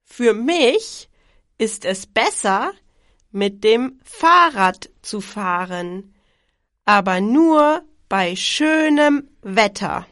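Woman in her twenties from germany, very slow speech, lively melodic pitch, dry close sound. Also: no room sound. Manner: very slow, careful enunciation. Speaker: exam recording speaker. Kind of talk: slow scripted exam dialogue.

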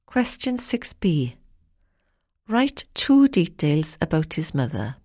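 Brief: female exam announcer, very slow, lively intonation, dry close sound. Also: low-pitched, no echo. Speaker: exam instructions reader. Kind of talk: reading test instructions.

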